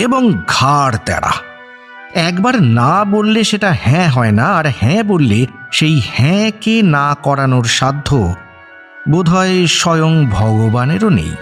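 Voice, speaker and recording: A man narrating a scripted radio story in an expressive, dramatic manner. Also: on the high side, a little slow, pauses often, faint music behind.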